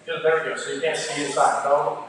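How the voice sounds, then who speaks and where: A man's voice: on the high side, little pitch movement, brisk. A man working as a youth pastor, hosting games from a stage in an informal, commanding way, his voice a little strained. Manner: informal, commanding, shouts directions to the crowd. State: voice straining, starting to give out. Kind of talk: live stage hosting, hyping games for students. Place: large indoor church room with stage and PA. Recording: very echoey hall, distant mic, faint music.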